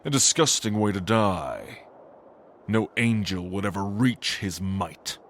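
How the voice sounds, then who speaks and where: Guy doing an evil old angel lord, deep-ish and really slow, smug grand contempt, fantasy audio roleplay. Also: lively intonation, a little hiss or hum. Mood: haughty. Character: ancient arrogant male angel lord, gloating tyrant villain.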